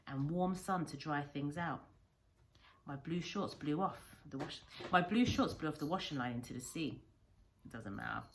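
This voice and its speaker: A woman reading a storybook aloud like a primary teacher, with deliberate, expressive phrasing. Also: deep voice, slight background noise.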